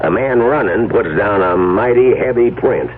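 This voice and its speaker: An actor playing a folksy, skeptical country cop in a radio drama, with a dry, rustic drawl. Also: male voice, lowish voice, normal speed, lightly acted. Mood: dry, reasoning. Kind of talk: vintage-style radio drama acting. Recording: muffled old radio recording with hiss.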